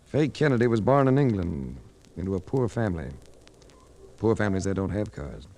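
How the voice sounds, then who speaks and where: An actor playing a cool, unsentimental private investigator in a 1940s radio drama, with a clipped, sardonic, accusing way of talking. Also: male voice, on the low side, big pitch swings, a little fast, vocal fry, warm tone. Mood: firm accusation. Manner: clipped, sardonic, hard-boiled. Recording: noisy vintage 1940s radio recording.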